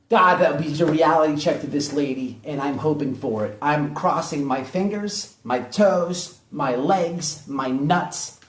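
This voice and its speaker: A man giving opinionated drama commentary in a blunt, mocking way, hammering points home with repetition. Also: a little high. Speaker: online commentary host. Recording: echoey room, distant mic.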